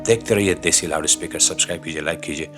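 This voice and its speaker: A man's voice: a bass voice, fast, music in the background. A man from Pakistan with a Pakistani accent delivers scripted political commentary in an indignant, dramatic, rhetorical way, using formal literary wording. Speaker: commentator, channel presenter.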